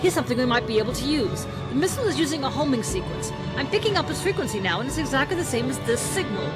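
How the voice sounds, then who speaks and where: A woman acting a brisk, practical technician on a space station in an audio drama. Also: high voice, very animated. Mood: focused. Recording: loud music behind the dialogue.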